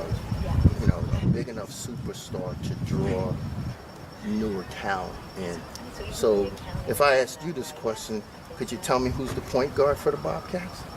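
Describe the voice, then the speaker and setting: A man with a New York accent, a youth basketball coach giving an earnest, motivational interview. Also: medium pitch. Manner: earnest, motivational, explains patiently. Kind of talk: on-location interview. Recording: distant mic, loud street noise.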